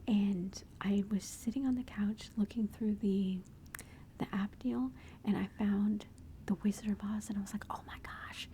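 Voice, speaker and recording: A woman's voice: medium pitch, fairly even intonation, normal speed, warm tone, slight background noise. A woman whispering throughout as she shows family photos and gushes fondly over her kids. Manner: gushing, affectionate, chatty. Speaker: mother showing family photos. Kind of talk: whispered ASMR photo show-and-tell.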